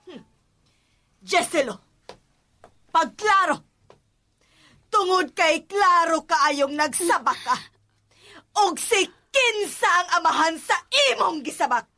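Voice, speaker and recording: A woman playing a stern, scolding madam of the house in a radio drama, with a Cebuano Filipino accent and a commanding, drawn-out way of interrogating. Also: extremely high pitch, expressive, pushed and bright, full character voice. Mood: suspicious. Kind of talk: Philippine radio drama acting.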